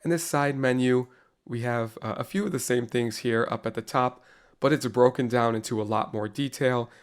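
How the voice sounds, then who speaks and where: A man with a cold and a slightly raspy voice, talking casually and in a friendly way as he leads an online teacher course through a software tutorial. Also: mid-range voice, normal speed. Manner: casual, friendly walkthrough with frequent "go ahead" and fillers. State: under the weather, slightly raspy voice. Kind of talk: screen-recorded software tutorial.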